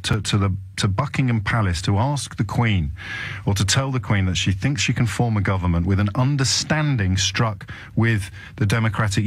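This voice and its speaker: A man's voice: on the low side, no echo. A man hosting a radio phone-in, talking in an impassioned, indignant way with emphatic repetition, speaking into a studio microphone. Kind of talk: opinionated talk radio monologue.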